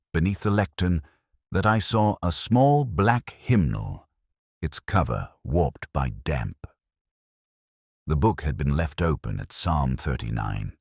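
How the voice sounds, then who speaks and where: A man voicing a weary, erudite police inspector who recounts a case in measured, literary first-person narration. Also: very deep voice, no echo, clean studio sound, full character voice. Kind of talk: scripted first-person detective story narration.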